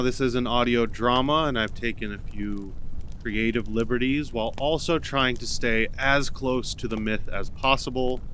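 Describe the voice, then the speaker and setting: A man's voice: mid-range voice, fairly bright tone. A man narrating a scripted audio drama as a storyteller. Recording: noticeable background noise.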